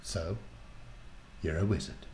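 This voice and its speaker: A man's voice: mid-range voice, animated, very slow, vocal fry, a little mellow, heavily acted. A man voicing a sceptical, feisty young girl in a storybook, with a dry manner. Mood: stunned.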